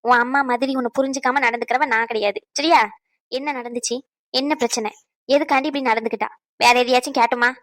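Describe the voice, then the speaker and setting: A woman voicing a grandmother who gently coaxes and questions her granddaughter. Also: fairly high pitch, steady tone, fast talker, pitched below the performer's own voice, natural voice. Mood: probing. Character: soft probing grandmother.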